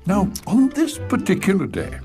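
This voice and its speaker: An actor playing a genial storybook narrator for a children's cartoon, theatrical, playful and deliberate. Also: mid-range voice, huge pitch swings. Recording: music under the voice.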